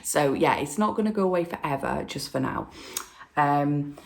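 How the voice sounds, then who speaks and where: A woman hosting a casual stitching video from home, chatting in a rambling way with lots of fillers, and sounding hoarse from a cold. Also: sits low, animated, normal speed. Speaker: flosstube host. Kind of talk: casual chat to camera.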